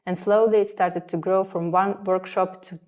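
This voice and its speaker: A woman's voice: lowish voice, fairly even intonation, moderate pace, a little mellow. A woman from Russia, not a native English speaker, talking casually as an interview guest in her sewing studio and often quoting her own past thoughts. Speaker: guest, sewing school founder.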